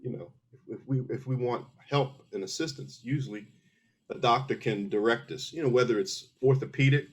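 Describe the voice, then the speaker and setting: Husky-voiced man explaining step by step. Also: mid-range voice, normal speed. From Philadelphia, USA. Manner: earnest, explains step by step with many restarts. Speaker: guest, army veteran. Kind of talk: casual interview conversation.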